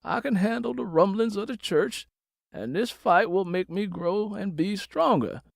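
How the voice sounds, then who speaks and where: A man acts out an older father and church pastor in an audiobook. He is troubled yet stubborn. His phrasing is deliberate and weighty, as if every line were scripture from the pulpit. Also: gravelly, no echo, studio quality, exaggerated voice. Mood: steadfast. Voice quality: deep, weighty. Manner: sermonizing, slow deliberate phrasing.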